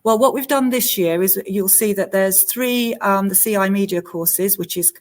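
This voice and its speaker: A woman's voice: mid-range voice, normal speed. Woman hosting a school Q&A. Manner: clear, explanatory, reads out questions, many "um"s. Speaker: school staff member hosting the Q&A. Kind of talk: presenting at a school options evening.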